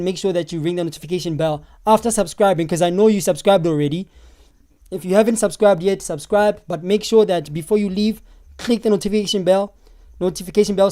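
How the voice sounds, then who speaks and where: Brief: South African man, hoarse and losing his voice, streamer answering chat, mellow tone. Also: sits high. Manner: animated, talks back to viewers' comments. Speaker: live stream host. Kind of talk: live stream commentary reading chat.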